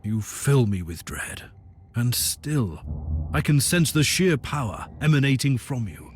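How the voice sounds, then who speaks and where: Commanding, grave sci-fi Inquisitor. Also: male voice, mid-range voice, sing-song, measured pace, slight background noise. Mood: grave, intense. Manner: grave, imperious, dramatic. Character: commanding, zealous male Imperial Inquisitor with psychic powers. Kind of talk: theatrical video game voice acting.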